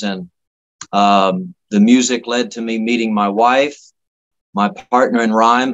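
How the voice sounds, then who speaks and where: Musician guy from florida being interviewed, normal pitch, rambly casual stories, jokey and folksy, stops and starts. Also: dull audio. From Miami, Florida. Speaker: guest musician, singer-songwriter. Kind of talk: casual anecdotal storytelling.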